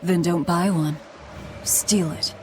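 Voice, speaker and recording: A woman voicing a grim, hard-bitten young outlaw in a video game, curt and deadpan. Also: lowish voice, takes it slowly, noisy background. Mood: cool, calculating.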